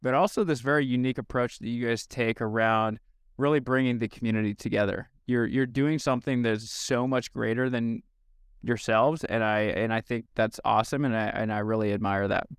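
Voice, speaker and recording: An enthusiastic man hosting a casual podcast, his voice smooth and resonant. He speaks close to a studio mic, with a dry sound and no room around him. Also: medium pitch, steady tone, brisk, dry recording. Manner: upbeat, enthusiastic, with fillers and restarts. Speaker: podcast host.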